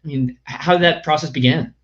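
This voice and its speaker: A man's voice: fairly high pitch, fast, fairly bright tone, lo-fi sound. Enthusiastic man hosting over a video call. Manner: enthusiastic, wordy, with filler phrases. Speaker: podcast host.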